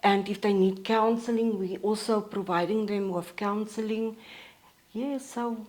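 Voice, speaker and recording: South African woman, steady and unhurried. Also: medium pitch, low-quality recording. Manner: steady, matter-of-fact, with frequent pauses and fillers. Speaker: podcast guest, counselor at a support organization. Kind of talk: casual interview talk.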